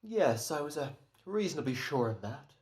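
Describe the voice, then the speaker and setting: A man's voice: on the high side, sing-song, normal speed, a little mellow. A man playing a smug, careful hired killer, speaking with hesitant, mannered politeness in a radio drama. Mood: hesitant, polite. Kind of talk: old-time radio drama acting.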